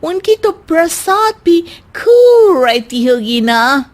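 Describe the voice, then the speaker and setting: A woman's voice: very high-pitched, big pitch swings, slow, faint music behind, exaggerated voice. A woman voicing a cheeky, naive young city bride with a pert, self-assured manner in a comic cartoon. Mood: naively excited. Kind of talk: Hindi cartoon story acting.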